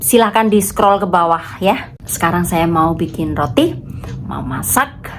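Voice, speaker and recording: A woman in her thirties from Indonesia, nasally blocked up with a cold, speaks in an unhurried, lowish voice. She chats to her viewers as friends, with faint background noise around her. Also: expressive. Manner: chatty, addresses viewers as friends. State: stuffy nose from a cold. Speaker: vlogger. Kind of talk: casual vlog chat.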